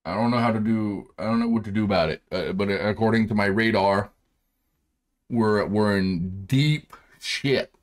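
Man, low voice, laid-back, rambling, casual swearing, headset mic, home room. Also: normal speed. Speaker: streamer reacting to a video. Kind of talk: casual reaction commentary. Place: home room with green screen.

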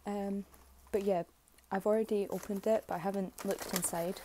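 A young woman with a slightly hoarse voice, giving low-key, halting commentary on an unboxing. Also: fairly even intonation, dark tone, slight background noise. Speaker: K-pop album unboxing vlogger. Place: bedroom, filming items on a bed.

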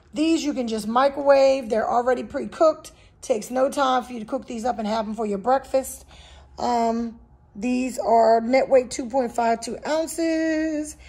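A woman's voice: a little high, darkish tone, husky. A woman vlogger talking in a folksy, chatty way straight to her viewers, recorded on a handheld phone in a home kitchen. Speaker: vlogger showing a grocery haul. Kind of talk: casual chat to viewers. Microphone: handheld phone behind the camera.

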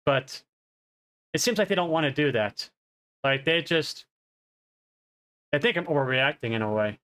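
A man's voice: a little high, animated, normal speed, pushed and bright. A man in his thirties giving a rambling, casual update on a headset, his voice nasal and congested. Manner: rambling, self-correcting. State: congested, coughing. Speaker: streamer. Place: home streaming room.

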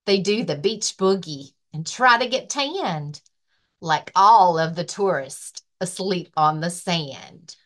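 A woman librarian reading a children's rhyming picture book aloud with expressive, rhythmic delivery. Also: on the low side, takes it slowly. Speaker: librarian and story-time reader.

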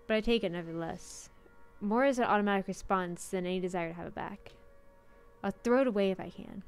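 A woman with a mid-pitched voice reads visual-novel narration aloud as a streamer. She drops into casual asides, and music plays softly behind her. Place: indoor streaming room.

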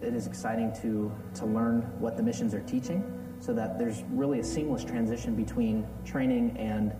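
A young man, a flight student, giving a steady, detailed account in a documentary interview. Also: mid-range voice, normal speed. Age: young adult. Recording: roomy, distant, under music.

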